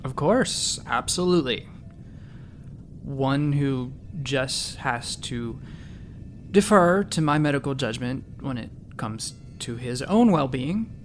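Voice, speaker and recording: A man's voice: medium pitch, lively intonation, slow pace, a little hiss or hum. An actor playing an earnest, eager doctor in his thirties, patient but a little awkward, in a sci-fi comedy audio drama. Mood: patient, firm. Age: 30s. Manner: sincere, patient, slightly awkward. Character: earnest, eager thirty-four-year-old doctor, easily flustered.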